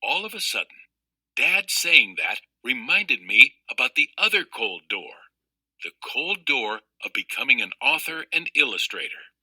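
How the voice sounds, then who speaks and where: Bright, lively man reading a children's book. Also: medium pitch, slightly rough, no echo, poor audio. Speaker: read-aloud narrator. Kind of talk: expressive scripted children's book narration.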